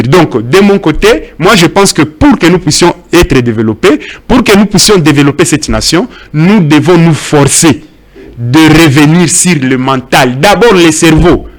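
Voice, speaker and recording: A Congolese man whose first language is Swahili, making an impassioned debate speech full of rhetorical questions. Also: high voice. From Congo (DRC). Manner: rhetorical questions, emphatic and preachy. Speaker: debate guest.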